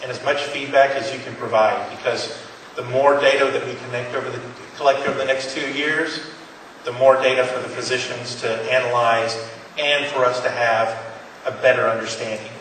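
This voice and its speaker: A man's voice: mid-range voice, fairly even intonation, brisk, fairly bright tone. A man presenting at a conference to patients, earnest and encouraging, with frequent restarts. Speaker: pharmaceutical company presenter. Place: conference room. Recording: echoey hall from far off, faint noise.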